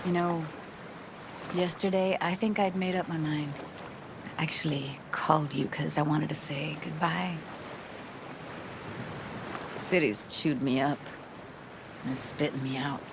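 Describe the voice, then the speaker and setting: A woman's voice: sits low, fairly even intonation, a little slow, creaky, pauses often, background noise. A woman playing a jaded, weary young woman in a video game, tough but tender, talking in casual, clipped slang. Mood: weary, resigned. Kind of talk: naturalistic video game voice acting.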